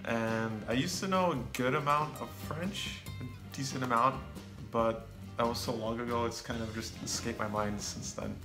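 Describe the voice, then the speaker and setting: A young American man chatting casually as co-host, relaxed and rambling with fillers. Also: medium pitch, normal speed. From United States. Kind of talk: casual chat. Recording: echoey room with faint music.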